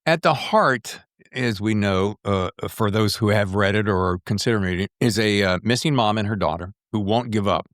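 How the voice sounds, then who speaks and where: An American man hosting a conversational interview, reflective and halting as he circles back through his thoughts. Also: on the low side, fairly bright tone, dry recording, studio quality. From American South. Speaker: podcast host interviewing an author.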